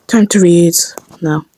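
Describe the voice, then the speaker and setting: A woman's voice: fairly low pitch, warm tone, dry recording, rough recording. A woman giving casual behind-the-scenes commentary, muttering asides to herself as she works. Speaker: amateur voice actor and vlogger.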